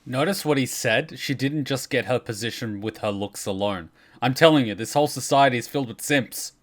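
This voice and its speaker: A man's voice: mid-range voice, a little fast. A man giving informal, opinionated commentary in a casual way.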